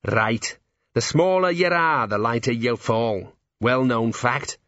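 A man's voice: mid-range voice, takes it slowly, fairly bright tone, no echo, highs cut off. A man doing a cocky tiny gnome with a lilt. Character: tiny fearless male gnome, blunt and cocky.

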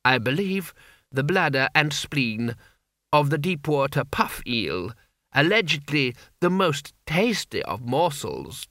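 A man voicing an obsequious, scheming older imperial vizier with florid, unctuous flattery, in an audiobook story. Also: fairly high pitch, no echo, clean studio sound, heavily acted.

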